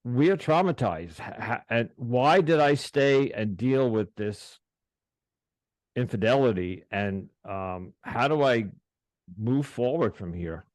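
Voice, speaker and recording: A middle-aged man hosting a podcast, speaking haltingly with many restarts as he opens up about his past. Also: mid-range voice, lively intonation, a little mellow, no echo. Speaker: podcast host. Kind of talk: casual interview, personal disclosure.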